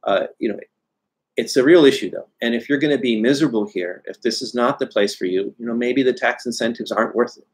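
Guy in his 40s, bit husky, talking candid into a webcam at home, middle pitch, rambly fillers. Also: fairly quick. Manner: candid, chatty, frequent "uh" and restarts. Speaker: newsletter host answering viewer questions. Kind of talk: live Q&A, casual talk to camera. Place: home study with bookshelves, indoors. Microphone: webcam video call framing.

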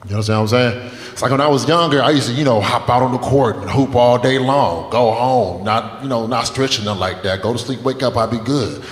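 A stand-up comic in his twenties talks loosely and profanely, chatting with the crowd between stories. His mid-pitched voice rings out across a club with a long, echoing reverb. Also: brisk. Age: 20s. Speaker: stand-up comedian. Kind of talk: stand-up comedy set. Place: comedy club stage. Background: audience laughter.

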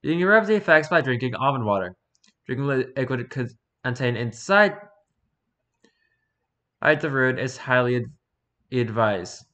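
Bright-voiced man reading aloud offhandedly. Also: mid-range voice, lively intonation, moderate pace, long pauses. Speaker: streamer reading and reacting to wiki pages. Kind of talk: casual read-aloud with offhand asides.

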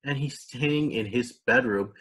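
A man's voice: medium pitch, unhurried, a bit bright, breathy edge. A man voicing a cheerful, caring young girl in a storybook, upbeat and earnest.

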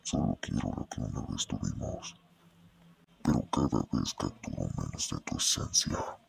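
A man voicing a monstrous talking great dane in a horror cartoon fan dub, possessive, sorrowful and menacing, with a guttural rasp. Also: lightly acted. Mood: mournful, menacing. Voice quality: guttural, breathy growling rasp. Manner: slow, brooding, menacing delivery.

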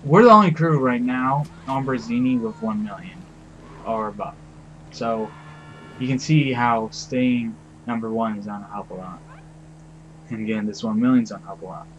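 A man's voice: medium pitch, normal speed, fairly bright tone, highs cut off, a little hiss or hum. A young man who is losing his voice lays down rules for his game crew in rambling casual talk, full of fillers, pleading and the odd swear word. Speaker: crew leader giving rules.

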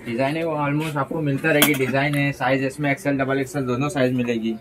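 A man's voice: mid-range voice, little pitch movement, fast, noisy background. A man with an Indian accent, a clothing seller giving brief sales patter in answer to questions. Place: small clothing shop, indoors.

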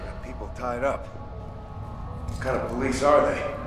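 An actor playing a grim, middle-aged criminal partner in a video game, blunt, accusing and profane. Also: male voice, medium pitch, flat delivery, normal speed, music in the background, close to an everyday voice. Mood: wary. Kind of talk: video game cutscene acting.

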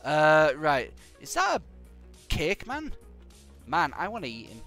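A young man with a British accent and a croaky voice from hay fever, chatting to viewers in a rambling, jokey way while he plays a game live. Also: a little high, huge pitch swings, a little slow, sharp tone, soft background music. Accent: British English. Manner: rambling and jokey, hums nonsense syllables. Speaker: game streamer.

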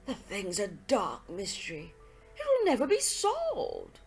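A woman voicing an earnest, baffled English gentleman, a detective's loyal friend, speaking in puzzled exclamations in an audiobook. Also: sits high, wildly swooping pitch, a little slow, soft background music, voice pushed up, heavily acted. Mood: exasperated.

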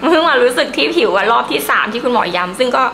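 A woman's voice: a little high, animated, racing, creaky, pushed and bright, faint music behind. A young woman gives a casual, enthusiastic review to camera in a chatty, emphatic way. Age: young adult. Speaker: beauty vlogger.

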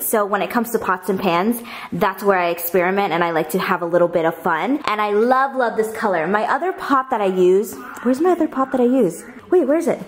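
A young adult woman with an American accent chatting casually to camera as a vlogger, rambling and informal. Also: mid-range voice. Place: at a table in an open-plan house.

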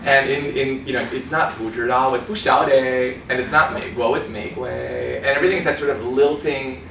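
Animated man in a roomy meeting room. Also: mid-range voice, quick pace, creaky, a little hiss or hum. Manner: chatty and animated, gestures a lot, mimics accents. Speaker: author giving a talk. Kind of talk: informal talk with slides. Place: small conference room. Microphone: far camera. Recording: roomy sound from a far camera.

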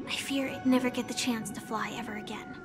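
An actress playing a quiet, thoughtful woman in a game, speaking softly and deliberately. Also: on the high side, fairly even intonation, close to an everyday voice. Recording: game audio with music behind.

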